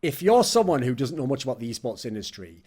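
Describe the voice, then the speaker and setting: A man's voice: medium pitch, big pitch swings, fast talker, a little mellow, slightly rough. A man, a guest commentator in a podcast discussion, talking bluntly and swearing casually as he rants his opinions.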